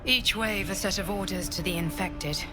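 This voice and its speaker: An actress playing a weary but gentle female guardian from dreams in a video game, speaking in a measured, earnest way. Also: mid-range voice, over loud music, natural voice. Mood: weary, confiding. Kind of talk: video game cutscene acting.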